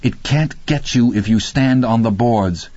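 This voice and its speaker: A man's voice: medium pitch, a little slow, no echo, lo-fi sound, close to an everyday voice. A man playing a panicked, exhausted young man, harsh and commanding under terror, in a dramatic audiobook. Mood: urgent commands. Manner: urgent, forceful, curt.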